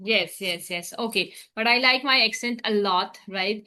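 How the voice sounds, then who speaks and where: A woman, interviewing in a casual conversation, asking probing questions and gently making her case. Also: mid-range voice, moderate pace. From India.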